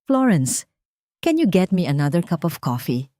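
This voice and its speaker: A woman's voice: on the low side, very animated, normal speed, dark tone, dry recording, studio quality. A woman voicing a cold, bossy rich husband in a story, curt and commanding.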